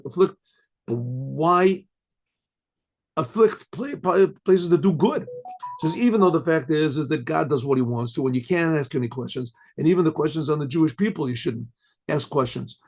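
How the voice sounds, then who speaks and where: An older man, a rabbi, giving a questioning sermon-style lecture, with restarts, rhetorical asides and frequent throat clearing. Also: a little high, darkish tone. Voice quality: husky, clears throat often. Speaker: rabbi teaching.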